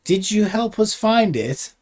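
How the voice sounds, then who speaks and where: Casting: a man as a mild-mannered game heroine, light raised pitch, soothing and polite. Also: sing-song, unhurried, darkish tone, full character voice. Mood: gentle coaxing. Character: gentle, soothing young woman, mild and polite.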